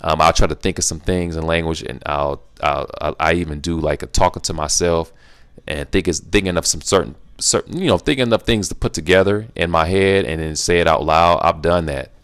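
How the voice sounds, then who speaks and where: Casual male host, very low voice, loose rambling delivery with lots of restarts. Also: a little fast, fairly bright tone, clean tone, dry recording. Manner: rambling, repeats himself, restarts often; laid-back, playful teasing. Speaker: YouTube Q&A host. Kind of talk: casual solo Q&A monologue.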